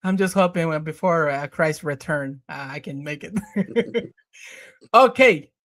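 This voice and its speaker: A man's voice: on the high side, measured pace, fairly bright tone. A man hosting a podcast interview, talking casually with many fillers and restarts. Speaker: podcast host.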